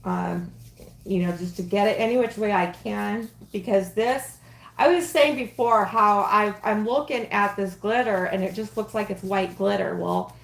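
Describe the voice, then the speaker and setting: A woman's voice: mid-range voice, moderate pace, creaky, roomy sound. A woman in her late forties hosting a casual crafting livestream, talking in a rambling, chatty way with frequent ums and giggles. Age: 40s.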